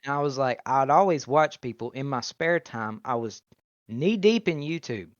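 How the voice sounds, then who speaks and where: Young man, casual interview over a call. Animated, outspoken storyteller; dull, dry audio. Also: medium pitch, moderate pace, dry recording. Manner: loud, animated storytelling with laughs. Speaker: YouTuber, interviewee. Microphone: video call mic.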